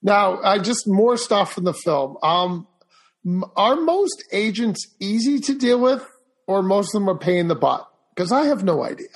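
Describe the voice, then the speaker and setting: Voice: mid-range voice, very animated, normal speed. An interviewer asking an actress direct, casual questions about her film and work.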